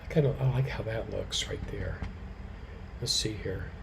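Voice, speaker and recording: A man teaching a live quilting and fabric-painting demonstration, slightly hoarse, chatting with viewers by name and muttering softly to himself as he works. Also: on the low side, normal speed, noisy background. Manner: relaxed, talks softly to himself while he works; answers viewers' comments by name. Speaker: quilting educator.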